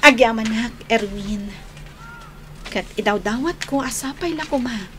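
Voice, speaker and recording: A sincere young student heroine in a radio drama. Her voice sits fairly high and carries an Ilocano Filipino accent. She speaks sweetly and frankly, over some background noise. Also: measured pace, a bit bright. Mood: gently firm.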